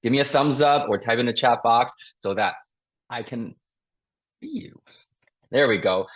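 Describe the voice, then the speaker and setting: Upbeat, husky-voiced man hosting a webinar. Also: medium pitch, normal speed. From Taiwan. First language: Mandarin. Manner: upbeat, chatty, self-deprecating, laughs between phrases. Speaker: investing mentor and webinar host. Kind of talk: live webinar presentation. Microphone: video call. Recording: dull lo-fi video call audio.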